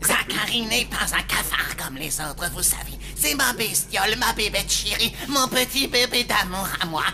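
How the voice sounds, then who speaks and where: A woman's voice: mid-range voice, expressive, moderate pace, gravelly, over music. A woman voicing a melodramatic, hot-tempered, sentimental chef in a French children's cartoon. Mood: doting.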